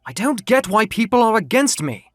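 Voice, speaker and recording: A man's voice: sits high, big pitch swings, normal speed, no room sound, pitched above the performer's own voice. A man voicing a petulant, defensive young male muse, indignant and self-justifying, in an audio drama.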